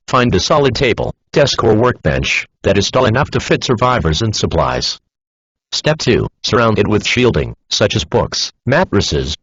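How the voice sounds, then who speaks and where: A man's voice: lowish voice, husky, no room sound. A man's synthetic text-to-speech voice reading a scripted emergency broadcast announcement in a formal, instructional manner. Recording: dull lo-fi emergency broadcast audio.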